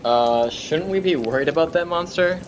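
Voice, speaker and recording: A man's voice: on the high side, moderate pace, lightly acted. A man playing a puzzled, uneasy, sceptical companion in a student radio play, with a hesitant, casual, questioning delivery. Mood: uneasy, baffled. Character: Johnny, puzzled, uneasy lighthouse companion, sceptical and plain-spoken. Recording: rain and thunder noise behind. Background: rain and thunder.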